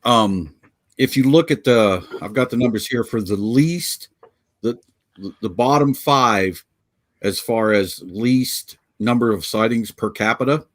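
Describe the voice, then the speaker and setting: A man's voice: mid-range voice, lively intonation, a little slow. A man from Pennsylvania co-hosting a casual podcast chat, rambling and joking as he fumbles through his notes on a video call. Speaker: podcast co-host.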